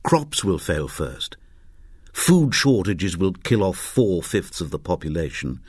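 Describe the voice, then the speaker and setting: Man playing a wry old moralizer, very lively intonation. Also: sits low, no room sound, studio quality. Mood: gloomy relish. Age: 70s. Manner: peaceable, sardonic, unhurried. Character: moralizing wry man over seventy, calmly fatalistic.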